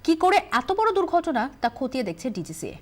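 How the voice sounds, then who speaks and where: A woman news anchor reading headlines in the studio with crisp, dramatic delivery. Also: sits high, very animated, brisk, heavy background noise.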